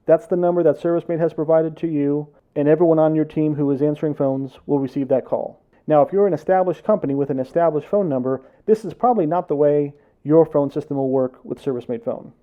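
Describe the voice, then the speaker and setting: Explainer presenter: American male, slightly high voice, scripted business delivery. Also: phone-quality sound. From United States. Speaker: presenter for a tech consultancy.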